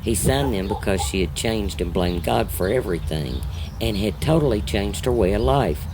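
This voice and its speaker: A devout, wounded mother, played by a man, explains things firmly in a hobby audio drama. The voice sits low and turns preachy. Clear noise runs through the recording. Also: expressive, moderate pace. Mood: grave.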